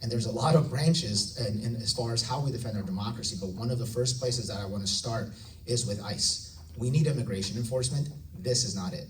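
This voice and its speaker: A man's voice: medium pitch, brisk, reverberant, distant mic, slight background noise, poor audio. A man in his thirties giving an earnest campaign speech at a candidate forum. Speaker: congressional candidate. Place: large event hall.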